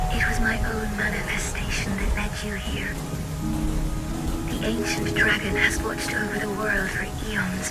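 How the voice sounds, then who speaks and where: A woman playing a mysterious, sorrowful guide to a cursed traveler in a dark fantasy game, speaking softly and breathily with grave, measured solemnity over music and rain. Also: medium pitch, lightly acted. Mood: wistful, grave. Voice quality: soft, breathy, whispery. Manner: measured, grave, cryptic delivery. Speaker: video game character voice actor. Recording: loud music and rain under voice. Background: loud ambient music and falling rain.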